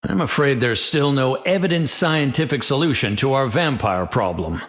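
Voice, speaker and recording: An actor voicing a composed middle-aged scientist superhero in a video game, articulate, polite and formal. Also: male voice, mid-range voice, animated, normal speed, fairly bright tone. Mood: composed, thoughtful.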